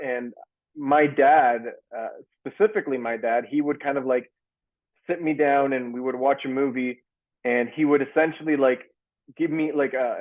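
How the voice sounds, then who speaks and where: Young canadian man, mid pitch, easygoing, rambling, thin phone-like call audio. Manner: loose, many fillers and restarts. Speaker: actor guest. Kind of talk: casual interview answers. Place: home in Toronto. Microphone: video call.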